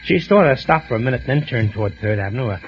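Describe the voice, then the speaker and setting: A man plays a deferential elevator operator who talks quickly and respectfully. It is a lo-fi old radio drama with faint music behind. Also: mid-range voice, expressive, close to an everyday voice. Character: eager male elevator operator. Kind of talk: 1940s radio drama acting.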